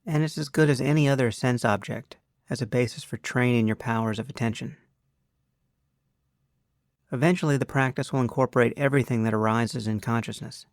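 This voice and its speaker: A man leading a guided meditation as a teacher, speaking in an unhurried, measured way with long pauses. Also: medium pitch, dry recording, clean studio sound.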